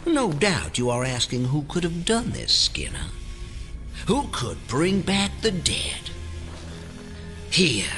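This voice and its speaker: A man playing a solemn, menacing older conspiracy leader in an audio drama, speaking in a formal, deliberate and ominous way. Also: on the high side, exaggerated voice. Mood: confessional.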